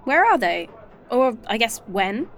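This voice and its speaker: A woman's voice: on the high side, sing-song, a little slow, fairly bright tone, exaggerated voice. An actress playing a blunt, joking and curious woman in the 1980s, in an indie audio drama.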